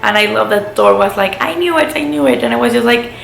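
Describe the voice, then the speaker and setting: A woman's voice: a little high, animated, fast, gravelly, a bit bright. A woman giving a casual, gushing film review to her viewers, full of enthusiastic emphasis. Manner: gushing, enthusiastic fan talk with repeated emphasis. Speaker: movie review vlogger. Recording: roomy echo, faint music behind.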